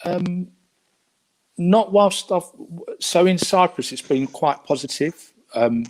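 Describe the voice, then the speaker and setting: A man's voice: high voice, expressive, slow pace, a little mellow, poor audio. A man with a Cockney accent from England, chatting as a guest on a video call, hesitant with many fillers and restarts. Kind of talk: casual video call chat.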